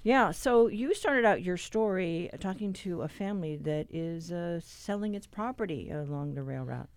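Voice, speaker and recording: A woman's voice: lowish voice, lively intonation, moderate pace, a little mellow, clear, resonant tone, dry recording. A woman hosting a public radio program in a conversational interviewing style. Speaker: radio show host.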